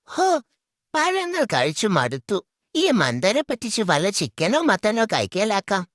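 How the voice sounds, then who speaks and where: A woman's voice: medium pitch, big pitch swings, measured pace, fairly bright tone, dry recording, clean studio sound. A woman voicing a sly, lazy male fox who poses as a healer in a children's cartoon, scheming and bossy. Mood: gleeful scheming. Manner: scheming, bossy, mock-authoritative. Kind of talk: children's cartoon story character.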